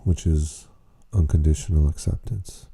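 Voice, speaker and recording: A man leading a guided meditation, speaking in an unhurried, gently questioning way with long pauses. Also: a bass voice, steady tone, gravelly, slightly rough. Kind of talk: slow spoken guided meditation.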